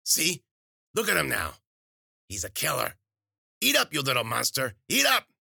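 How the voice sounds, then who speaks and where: A man's voice: mid-range voice, wildly swooping pitch, normal speed, gravelly, bright, forceful tone, breathy edge, dry recording, studio quality, voice pushed up, heavily acted. A man voicing a spiteful old woman witch, scolding and cursing.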